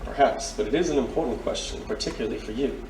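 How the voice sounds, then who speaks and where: An actor playing a courtly, earnest major in a noir radio drama, formal and hesitant. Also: male voice, mid-range voice, quick pace, a little mellow, lightly acted. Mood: polite, probing. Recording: roomy, distant, faint background noise.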